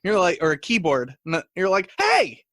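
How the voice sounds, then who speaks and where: A man co-hosting a comedy podcast with casual, teasing banter and animated storytelling. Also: sits high, moderate pace, creaky, no echo, studio quality. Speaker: guest co-host of comedy podcast.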